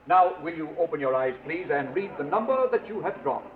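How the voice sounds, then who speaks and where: Male actor as showy auctioneer, high voice, theatrical coaxing patter, echoey, tinny vintage radio drama. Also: expressive, a little fast, lightly acted. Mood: suspenseful, showy. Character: showy, jovial charity auctioneer working a crowd. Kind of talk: 1940s radio acting. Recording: tinny, echoey, noisy old radio recording.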